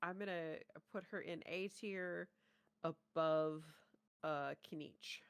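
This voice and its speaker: A woman's voice: low voice, takes it slowly, clean tone, dry recording, studio quality. A woman co-hosting a reaction show in casual chat. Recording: music playing behind. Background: music from trailers.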